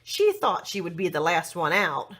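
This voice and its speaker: A woman reading a children's story aloud expressively, with dramatic, deliberate phrasing for young listeners. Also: on the low side, wildly swooping pitch, a bit bright.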